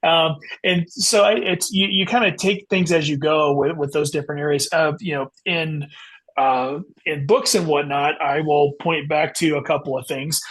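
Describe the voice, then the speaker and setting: A man speaking as an author guest in a casual podcast interview, haltingly thinking aloud as he talks. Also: a little high, steady tone, a bit bright, slightly rough, poor audio.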